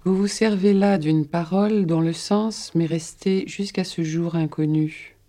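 A woman reciting a poem in a slow, measured, dramatic way. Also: fairly low pitch, fairly even intonation, warm tone. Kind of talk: poetry recitation.

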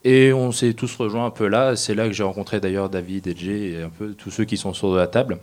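A man introducing himself as a guest at a round table, speaking in hesitant, halting phrases. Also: lowish voice, steady tone, darkish tone. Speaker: guest, amateur audio fiction creator.

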